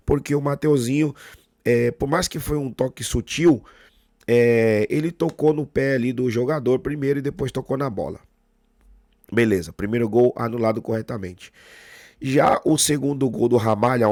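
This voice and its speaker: A Brazilian man hosting a football fan channel, hoarse with a cold and sore throat, giving his opinionated match analysis straight to the viewer. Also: medium pitch, a little mellow, dry recording, clean studio sound. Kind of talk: casual match analysis to camera.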